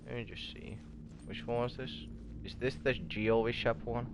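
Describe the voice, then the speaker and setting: A man's voice: medium pitch, steady tone, normal speed, background noise. A man chatting casually as he streams a game, muttering in a laid-back way and swearing often. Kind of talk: casual gameplay stream chat.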